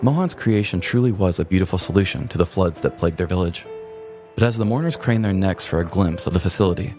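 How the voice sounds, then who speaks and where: Deep-voiced male story narrator over music. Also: quick pace. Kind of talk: scripted comedic story narration. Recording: music bed under the voice.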